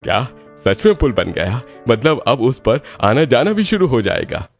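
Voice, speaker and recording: A grateful village father in middle age, voiced by a man, talks in cartoon dialogue. His pitch is medium, and faint music plays behind him. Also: big pitch swings, moderate pace, highs cut off. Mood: surprised.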